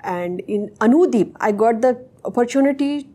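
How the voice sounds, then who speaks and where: A young woman whose first language is Urdu, answering interview questions in hesitant, halting English. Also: a little high, lively intonation, warm tone, breathy edge. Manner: hesitant, searching for words. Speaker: interviewee, technical associate.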